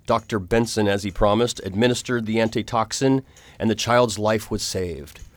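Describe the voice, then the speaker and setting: An actor playing an earnest, determined gentleman hero in a radio drama, speaking gravely and formally. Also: lowish voice, moderate pace, no room sound, natural voice. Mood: reflective. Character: earnest, determined gentleman hero recounting his perilous adventure. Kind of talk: radio drama narration and acting.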